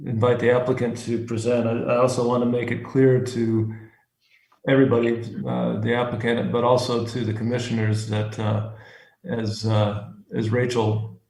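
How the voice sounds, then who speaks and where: A man chairing a public review hearing, speaking haltingly with many fillers and restarts. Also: medium pitch, little pitch movement, a little mellow. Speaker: commission chair. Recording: echoey room sound.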